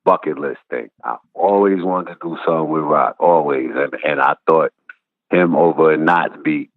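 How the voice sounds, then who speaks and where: A man's voice: rumbling low, normal speed, no echo. A man from Brooklyn, talking casually as a rapper guest in an interview. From Brooklyn, New York. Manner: laid-back slangy storytelling. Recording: tinny phone-like line.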